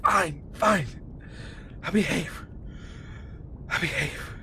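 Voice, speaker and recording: A man's voice: high-pitched, slow pace, noisy background. A man playing a huge, imprisoned experiment in an audio roleplay, cocky and bitter, teasing and volatile. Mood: wounded, resigned. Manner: teasing, swaggering, swinging from soft murmurs to loud outbursts. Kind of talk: intimate audio roleplay monologue addressed to the listener.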